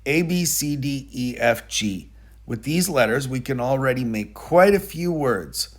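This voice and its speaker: Patient, husky storybook man read by a man. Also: mid-range voice, lively intonation, measured pace. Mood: patient, instructive. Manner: instructive, gently encouraging. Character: patient, kindly man teaching his pet monkey letters. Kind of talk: storybook character dialogue.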